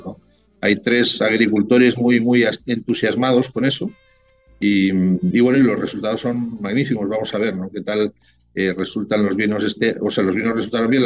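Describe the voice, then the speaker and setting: A man's voice: fairly low pitch, lo-fi sound. Chatty Spanish-accented man. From Spain. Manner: chatty, rambling, with many tag questions. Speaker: winemaker guest. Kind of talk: casual interview talk.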